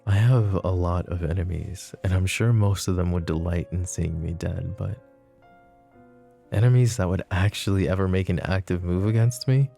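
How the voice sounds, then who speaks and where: A man playing a flirty, possessive mafia boss in an intimate roleplay, speaking sardonically and softly with slow, deliberate pauses. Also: deep voice, creaky, soft background music. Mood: grim, brooding. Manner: sardonic, soft-spoken, slow pauses, swearing and sighs. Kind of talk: intimate ASMR roleplay spoken to the listener.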